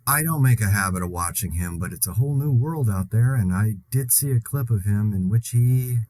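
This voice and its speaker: Actor as low-voiced, wry, anxious apocalypse broadcaster. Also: male voice, breathy edge, no echo. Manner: rambling, sardonic, halting. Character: anxious, wry man broadcasting alone during zombie apocalypse. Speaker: podcast host in a zombie-outbreak audio drama. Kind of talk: confessional monologue broadcast, conversational.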